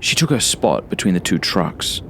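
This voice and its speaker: A man reading a first-person horror story as an audiobook narrator, in a dramatic, suspenseful manner with hushed asides. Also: low-pitched, sing-song, moderate pace, a little hiss or hum.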